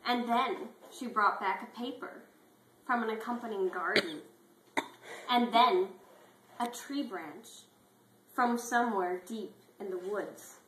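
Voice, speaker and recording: Woman doing dramatic parody storybook reading, slow w pauses, echoey room and bit of noise. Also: medium pitch, fairly bright tone. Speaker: story reader.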